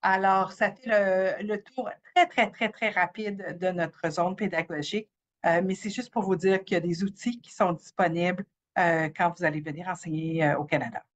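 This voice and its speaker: A woman giving an informational presentation, halting often with fillers. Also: on the low side, steady tone.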